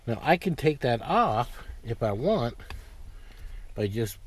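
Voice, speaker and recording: A man giving a casual, unscripted DIY walkthrough, explaining step by step in a plain-spoken, halting way. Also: mid-range voice, big pitch swings, breathy edge. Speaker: DIY how-to presenter. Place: in or beside a parked pickup truck.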